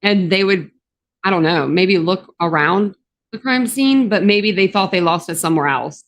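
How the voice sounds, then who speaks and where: A woman's voice: lowish voice, expressive, moderate pace. A woman with a Southern drawl, chatting casually on a livestream. Her voice is a bit scratchy from the morning, and she rambles with plenty of ums. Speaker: true crime YouTuber.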